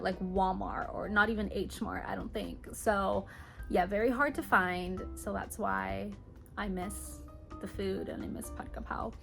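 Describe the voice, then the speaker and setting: A young American woman in her mid-twenties talks to her viewers in a casual question-and-answer vlog. Her voice sits at an average pitch for a woman. She speaks informally with girly slang, and soft music plays behind her. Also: creaky. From Hawaii, United States. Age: mid-20s. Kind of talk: casual chatty Q&A to camera.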